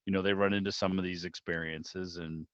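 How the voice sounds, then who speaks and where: Male interviewer, lowish voice, discursive and chatty, heard over a dull, roomy video call. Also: little pitch movement, brisk. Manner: rambling, discursive, circles around his point. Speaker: interviewer, club meeting host. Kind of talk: casual interview chat.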